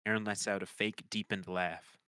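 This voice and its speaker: A man's voice: on the low side, fairly even intonation, moderate pace, pushed and bright, clear, resonant tone, no room sound, studio quality. A man narrating the stage directions of a comedy screenplay in a scripted read.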